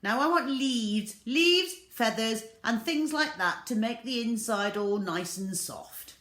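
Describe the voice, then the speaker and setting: A woman's voice: on the high side, expressive, takes it slowly, fairly bright tone, reverberant, pitched above the performer's own voice. Woman playing a bossy little storybook dad. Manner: commanding, emphatic. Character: tiny bossy, can-do father, Mister Gregg.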